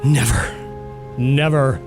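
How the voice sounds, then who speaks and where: A man's voice: medium pitch, steady tone, slow pace, vocal fry. A man playing a bitter, passionate, grieving lover in a melodramatic audio drama, tormented and intense. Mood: tender anguish. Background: dramatic music.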